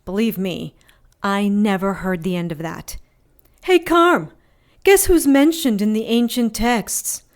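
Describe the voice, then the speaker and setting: A tough female adventurer in an audio drama. She speaks at a middle pitch with a sardonic edge and spins her tale in plain, colloquial language, like someone battered but unbowed. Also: slow. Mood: wry storytelling. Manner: wry, sardonic, colloquial storytelling. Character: Carmen, tough wry wounded woman adventurer telling her tale. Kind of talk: audio drama acting, conversational storytelling.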